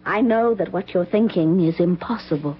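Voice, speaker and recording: Mysterious young senorita: veiled husky voice, terse and resisting, muffled vintage radio play. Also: female voice, lowish voice, moderate pace. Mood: guarded, resigned. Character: mysterious, guarded young Spanish-speaking woman. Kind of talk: old-time radio drama acting. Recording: muffled old radio broadcast.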